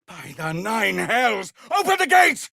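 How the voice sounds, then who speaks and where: An actor playing a weary, honourable tiefling ex-paladin leading refugees in a fantasy game, husky and breathy, with grave, measured, formal delivery. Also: male voice, sits high, big pitch swings, bright, forceful tone, no room sound, clean studio sound, full character voice. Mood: angry, commanding. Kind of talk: dramatic fantasy game voice acting.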